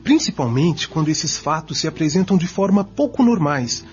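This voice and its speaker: A man's voice: a little high, lively intonation, normal speed, faint music behind, natural voice. A man with a Brazilian accent playing an assured, didactic spiritist in a radio drama, formal and emphatic. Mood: assured, instructive. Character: assured, didactic male spiritist group member. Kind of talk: amateur radio drama acting. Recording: dull lo-fi.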